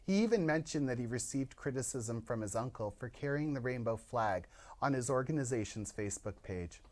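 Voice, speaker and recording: A man's voice: mid-range voice, fairly quick. Man co-host reading an intro. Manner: even, reading prepared remarks. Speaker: podcast co-host. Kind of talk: scripted show introduction.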